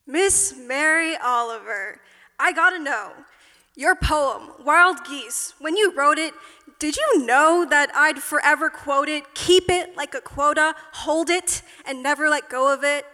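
High, bright woman reciting rhyming verse. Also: a little slow. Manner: rhythmic, rhyme-driven delivery. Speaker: slam poet. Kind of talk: spoken word poetry performance. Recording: reverberant hall.

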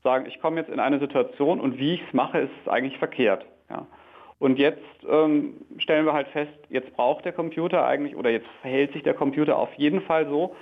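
A man explaining deliberately as an interviewed researcher, often adding small tag questions, over a thin line. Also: a little high. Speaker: academic researcher being interviewed. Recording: thin narrow phone-like line.

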